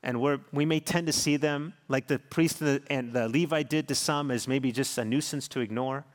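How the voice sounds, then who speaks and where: Pastor preaching in a reverberant church. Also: male voice, mid-range voice, steady tone, moderate pace. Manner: warm storytelling, earnest pastoral delivery. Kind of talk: sermon with closing prayer. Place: large church sanctuary. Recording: long hall reverb.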